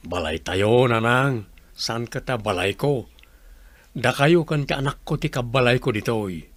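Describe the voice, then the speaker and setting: A man's voice: medium pitch, unhurried, a bit bright, dry recording. Man playing a dutiful, grateful Ilocano grown son. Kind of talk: Ilocano radio drama acting.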